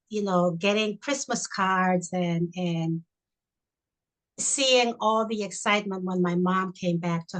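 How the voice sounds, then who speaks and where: A woman's voice: on the low side, poor audio. An older woman from Honduras whose first language is Spanish, telling her life story as a webinar guest with many "you know"s. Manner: reflective, repeats "you know". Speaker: guest, chaplain. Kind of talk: personal storytelling. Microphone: video call.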